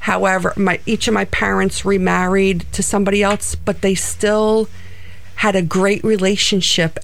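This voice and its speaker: A woman's voice: mid-range voice, little pitch movement, normal speed, creaky. A middle-aged woman from New Jersey with a New Jersey accent, answering interview questions in an earnest way. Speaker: guest, co-owner of flight center.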